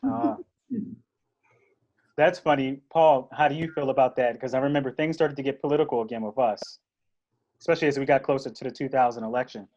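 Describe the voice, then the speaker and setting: A man in his late thirties hosts a discussion show. His voice sits at a middle pitch, and he speaks in a conversational, moderating style. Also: brisk, long pauses, a little mellow, lo-fi sound. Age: late 30s. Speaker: host of a generational discussion show.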